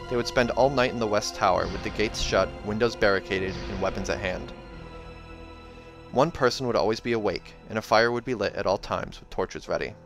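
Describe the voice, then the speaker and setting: A man's voice: lowish voice, vocal fry. A man hosting a read-aloud of a fantasy novel, mixing narration with casual reactions. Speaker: reader and host. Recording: music behind the voice.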